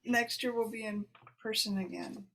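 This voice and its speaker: A woman's voice: medium pitch, moderate pace, vocal fry, a bit bright. A woman hosting an online poetry reading in a casual, conversational way. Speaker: host introducing poets. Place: video call. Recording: noisy video call audio with clicks.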